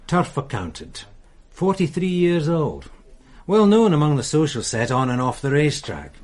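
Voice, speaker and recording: An actor playing a tired, methodical male police sergeant in a radio drama, speaking in a measured way. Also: on the high side, sing-song, a little mellow. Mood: weary.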